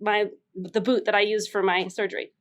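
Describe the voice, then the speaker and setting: A woman casually telling a personal story as a panelist, stressing words in an animated way. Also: medium pitch, brisk. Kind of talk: casual anecdote on a comedy game podcast.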